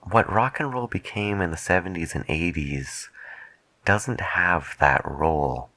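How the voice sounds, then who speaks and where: A man speaking softly and breathily as a late-night radio DJ in an ASMR style, musing in an unhurried way close to the microphone. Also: low voice, no echo. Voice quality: breathy, whispery. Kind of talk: soft-spoken ASMR radio DJ roleplay.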